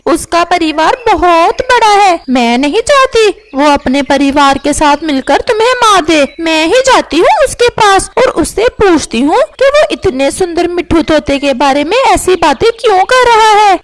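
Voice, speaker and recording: A woman's voice: very high voice, lively intonation, a little slow, few pauses, slight background noise. A woman voicing a sly, gossipy female crow with a falsely sweet manner in a children's cartoon story. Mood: falsely concerned. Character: sly, scheming female crow stirring up quarrels. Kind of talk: animated children's moral story dialogue.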